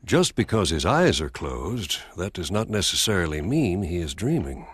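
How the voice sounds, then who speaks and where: A man voicing a thoughtful, philosophical man in a video game, measured and pondering in delivery. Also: low-pitched, sing-song, slightly rough, no room sound, full character voice. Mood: pensive.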